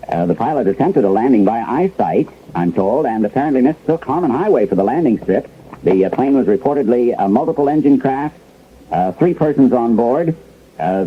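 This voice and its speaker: Flustered male news anchor, husky, hesitant, medium pitch, mock live radio bulletin, thin tinny sound. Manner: halting, hesitant, groping for words. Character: flustered male newsroom anchor handling an emergency. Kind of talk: mock live newscast, 1970s radio drama. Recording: tinny, phone-like old radio broadcast.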